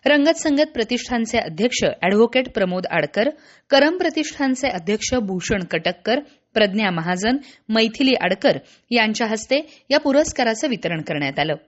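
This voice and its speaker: A woman reading a scripted regional radio news bulletin in a formal, measured way. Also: on the high side, big pitch swings, dull audio.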